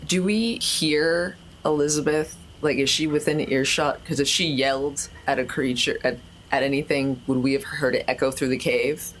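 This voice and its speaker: Really deep voiced woman, game player, talks haltingly, faint background noise. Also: steady tone, low-quality recording. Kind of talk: casual in-character chat.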